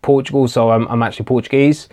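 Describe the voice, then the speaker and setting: A man's voice: mid-range voice, little pitch movement, quick pace, a little mellow. A man hosting a casual live-stream Q&A, chatting hesitantly with many fillers and restarts while answering viewer comments. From England. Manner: reads viewer comments aloud and answers them. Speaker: tech channel host.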